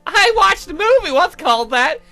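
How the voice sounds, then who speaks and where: A man voicing a shy, sweet schoolgirl classmate in an eager, squeaky way for a visual novel. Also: big pitch swings, moderate pace, bright, forceful tone, full character voice.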